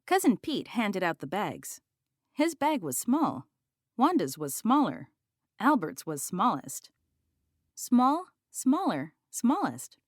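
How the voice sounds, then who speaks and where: A woman's voice: mid-range voice, wildly swooping pitch, takes it slowly, no echo, studio quality. A woman narrating a children's picture book as a read-aloud.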